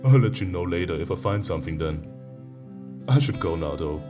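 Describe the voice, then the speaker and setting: A man reading a visual novel aloud as a let's-play host, breaking off into expressive, teasing reactions, with guitar music behind him. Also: low-pitched, brisk.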